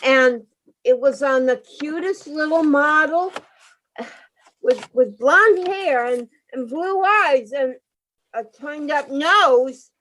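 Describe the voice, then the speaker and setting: A woman's voice: high-pitched, slow pace, a bit bright, slight background noise, low-quality recording. A woman playing an anxious, naive wife in a radio play, speaking hesitantly. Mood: chatty, uneasy. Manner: hesitant, fretful, wide-eyed.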